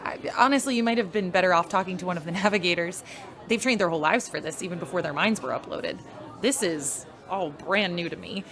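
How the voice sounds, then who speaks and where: An actress playing a friendly, easygoing uploaded human mind, speaking in a casual, chatty way in a sci-fi radio drama. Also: female voice, on the low side, fast, a bit bright. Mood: friendly, candid. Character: friendly, easygoing female uploaded mind running a general store.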